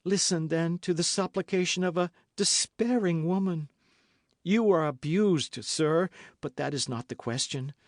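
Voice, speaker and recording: A man's voice: fairly high pitch, unhurried, no echo, studio quality, pitched above the performer's own voice. A man voicing a scheming noblewoman who feigns pious, tearful meekness as she pleads. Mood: tearful pleading. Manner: pleading, sobbing, breathless. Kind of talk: audiobook character voice.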